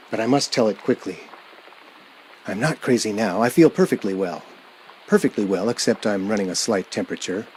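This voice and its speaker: A man performs a haunted man in his thirties telling his story in a radio drama. The voice sits fairly low, and the delivery is that of a dramatic first-person thriller. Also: darkish tone, a little hiss or hum, natural voice, low-quality recording. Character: haunted 36-year-old man insisting he is sane.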